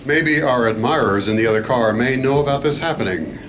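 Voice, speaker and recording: A man playing a calm, authoritative adventure hero in a radio play, measured, formal and dryly understated. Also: mid-range voice, natural voice. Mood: calm, commanding. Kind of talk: old-time radio play acting. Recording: noisy live convention stage.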